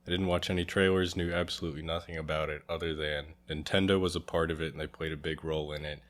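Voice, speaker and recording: Male guest reviewer, deep-pitched, plain-spoken and agreeable in casual chat. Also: fairly even intonation, fast, a bit bright.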